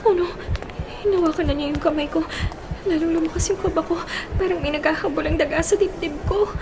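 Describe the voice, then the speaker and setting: A Filipino woman acting an anxious, doubting young girlfriend in a radio drama, hesitant, coy and expressive. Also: very high voice, fairly quick, gravelly, background noise. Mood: nervous, flustered. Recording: music playing behind the voice.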